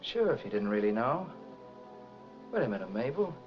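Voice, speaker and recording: A man's voice: mid-range voice, very animated, normal speed, lightly acted. A man playing a cold, commanding killer in a 1940s crime film, talking in curt, tough slang. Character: cold, menacing male killer and escaped convict in crime film. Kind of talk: 1940s film noir acting. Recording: dull vintage film soundtrack, music behind.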